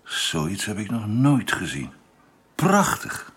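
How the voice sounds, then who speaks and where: An actor playing a curious, easily amazed space engineer in a radio drama, polite and slightly hesitant. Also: male voice, fairly low pitch, wildly swooping pitch, takes it slowly, a bit bright, heavily acted.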